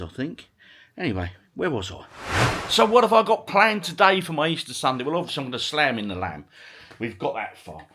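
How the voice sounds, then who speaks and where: Chatty vlogger with lively, swooping pitch. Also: male voice. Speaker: vlogger and retro gaming channel host. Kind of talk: casual vlog chat to viewers.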